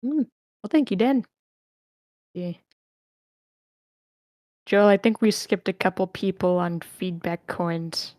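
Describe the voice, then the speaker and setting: A woman moderates an online group session in a casual, chatty way. Her voice sits at an average pitch for a woman. The sound is dry, with very little room in it. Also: animated, slow pace, pauses often, darkish tone, no room sound. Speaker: host of an online script reading session.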